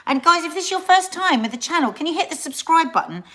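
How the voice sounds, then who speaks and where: A woman's voice: very high-pitched, expressive, quick pace, a bit bright. A middle-aged woman hosting a casual livestream, chatting with viewers, often trailing off and restarting her sentences. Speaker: livestream host.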